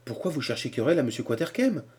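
A man's voice: medium pitch, sing-song, fast, a little mellow, slightly rough, voice pushed up. A man voicing a spirited young woman who speaks with light teasing.

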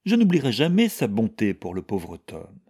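A man's voice: on the low side, huge pitch swings, normal speed, mellow, breathy edge, voice pushed up, full character voice. A man voicing an anxious, submissive middle-aged widow with a doleful, gushing manner in an audiobook. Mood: gushing, defensive.

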